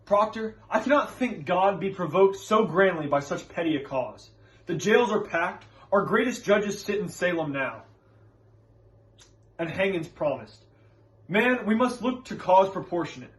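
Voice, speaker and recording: A man plays a scholarly witch-hunting reverend in a stage drama. The high voice is earnest and preacherly, leaning firmly on formal, archaic wording. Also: animated, unhurried, low-quality recording. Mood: urgent reasoning.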